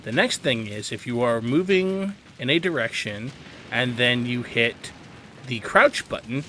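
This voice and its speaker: A man's voice: mid-range voice, animated, unhurried, sharp tone, a little hiss or hum. A man hosting a gaming video, giving instructional tutorial commentary and stressing his key advice.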